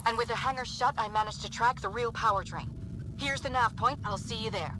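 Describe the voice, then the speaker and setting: An actress playing an earnest, devout alien starship engineer in a video game, speaking with urgent, slightly hesitant sincerity. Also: female voice, fairly high pitch, a little fast, long reverb tail, noisy background, natural voice. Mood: earnest, practical.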